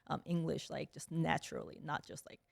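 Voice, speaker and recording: A woman's voice: sits low, fairly even intonation, a little fast, vocal fry, no room sound. A young woman from South Korea, a guest in a casual interview, talking freely with lots of "like" and "um" fillers and frequent laughter. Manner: chatty, many "like" and "um" fillers, laughs often.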